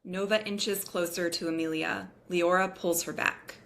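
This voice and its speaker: A woman's voice: fairly low pitch, steady tone, a little slow, vocal fry, fairly bright tone. A woman narrator reading scripted stage directions at a table read.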